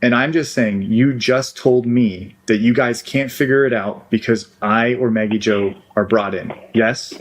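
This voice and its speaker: A man's voice: mid-range voice, fairly even intonation, unhurried, few pauses. A man in a phone argument, pressing his point with insistent questions. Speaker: caller.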